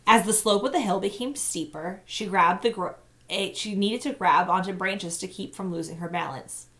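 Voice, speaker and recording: A woman, a teacher, reading a children's novel aloud with expressive narration. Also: medium pitch, normal speed.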